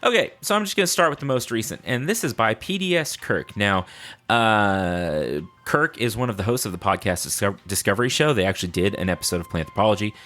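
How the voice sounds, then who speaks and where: A man's voice: on the low side, expressive, fairly quick, fairly bright tone. A man hosting a podcast solo in a casual, unscripted way, chatting playfully and rambling with self-deprecating humor. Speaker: podcast host.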